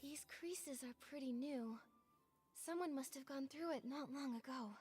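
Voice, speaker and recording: A woman playing a gentle, curious young heroine in a video game, speaking politely and thoughtfully. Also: high voice, unhurried, faint music behind.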